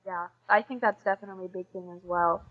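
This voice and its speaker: A woman's voice: medium pitch, steady tone, fast talker, no echo, narrow, tinny audio. A teenage woman from the USA with an American accent, chatting informally and giggly as a podcast guest. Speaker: podcast guest, exchange student. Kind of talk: casual interview chat.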